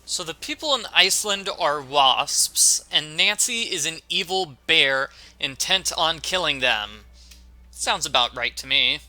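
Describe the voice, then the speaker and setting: Bright, sharp-voiced man, joking. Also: a little high, lively intonation, measured pace, no echo, rough recording. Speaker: video game walkthrough host. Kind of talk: joking game commentary.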